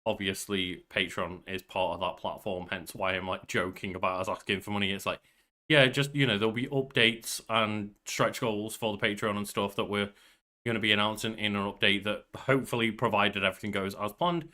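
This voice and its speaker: A man's voice: lowish voice, fairly even intonation, fairly quick, sharp tone. A young man chatting casually as co-host of a podcast about games. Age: young adult. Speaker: podcast co-host and game streamer.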